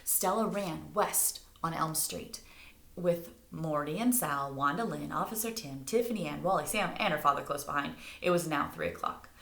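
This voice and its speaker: A woman's voice: deep voice, animated, normal speed, creaky, a bit bright. A woman reading a children's story aloud expressively as a storyteller, recorded in an echoey room. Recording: roomy, echoey indoor sound.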